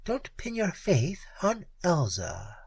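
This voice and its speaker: Guy doing a cool pirate lady, high and teasing, breathy, soft menace. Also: very animated, measured pace, dry recording, heavily acted. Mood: coolly taunting. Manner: sweet, taunting, softly menacing. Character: cool mocking female space pirate queen.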